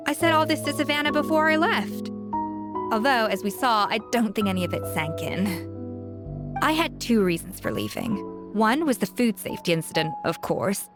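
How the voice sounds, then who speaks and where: A woman's voice: a little high, lightly acted. A woman playing a self-assured young chef in a video game, passionate and articulate as she explains things. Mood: reflective. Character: confident, exacting young female chef, passionate about cooking. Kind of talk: video game character dialogue.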